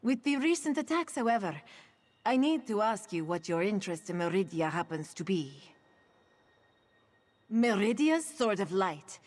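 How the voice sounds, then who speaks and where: A woman acting as a solemn priestess in a fantasy game. She sounds guarded and earnest, with formal, measured phrasing at a middle pitch. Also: expressive, darkish tone, lightly acted. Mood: wary, formal. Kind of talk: video game character dialogue.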